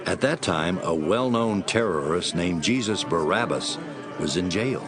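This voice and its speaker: Expressive, low-voiced male narrator. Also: a little slow, over music. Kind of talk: scripted dramatic scripture narration.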